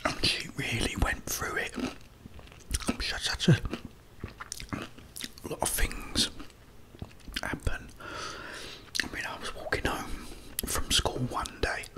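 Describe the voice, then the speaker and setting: A man speaking in a breathy whisper, chatting and telling casual stories in a halting way as an ASMR host while eating. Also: rough recording. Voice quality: breathy, mostly unvoiced whisper.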